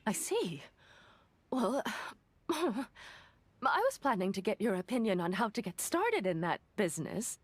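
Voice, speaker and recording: A woman playing an eager, chatty young woman in a video game, expressive and polite with a gossipy, admiring manner. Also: medium pitch, unhurried, breathy edge, no echo. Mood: curious, eager. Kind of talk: scripted video game voice acting.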